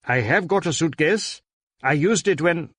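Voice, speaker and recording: A man voicing an anxious, wealthy Indian businessman in a crime story, halting and repeating himself. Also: on the high side, lively intonation, a bit bright, husky, no room sound, studio quality, voice pushed up, full character voice. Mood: flustered, compliant. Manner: halting, repetitive, incoherent babbling. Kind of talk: dramatized audiobook dialogue.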